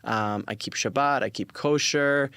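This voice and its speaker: A man's voice: medium pitch, unhurried, pushed and bright, clear, resonant tone, no room sound, studio quality. A forty-year-old man speaking casually as an interview guest, earnest and emphatic, repeating words and acting out quoted dialogue. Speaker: interview guest, influencer marketing company founder.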